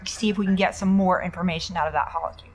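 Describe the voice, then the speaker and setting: A woman's voice: fairly low pitch, steady tone, racing. A woman playing a tabletop role-playing game, casually improvising her character's lines and actions.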